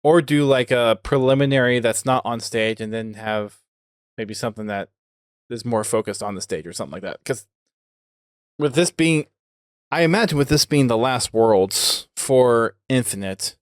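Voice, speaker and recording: A man's voice: medium pitch, moderate pace, clean tone, dry recording. Man chatting casually, dry close mic. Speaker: podcast host. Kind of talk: casual podcast conversation.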